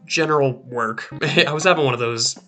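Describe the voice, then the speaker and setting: Young man, let's-play host, rambly and self-interrupting, recovering throat, soft game music behind. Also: mid-range voice, moderate pace. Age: young adult. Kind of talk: casual gameplay commentary. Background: faint game music.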